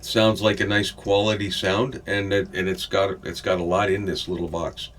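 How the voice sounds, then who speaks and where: Casual gear reviewer, male, lower-pitched, hesitant and unscripted, with some noise behind him. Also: level tone, normal speed. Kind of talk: casual unboxing and gear demo. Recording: clearly noisy room recording.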